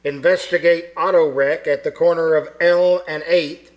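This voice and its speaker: A man's voice: fairly high pitch, steady tone, normal speed, close to an everyday voice. A man playing a police radio dispatcher reading bulletins in a formal announcer manner for a 1940s-style radio play. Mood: official, matter-of-fact. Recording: noisy live radio-play recording.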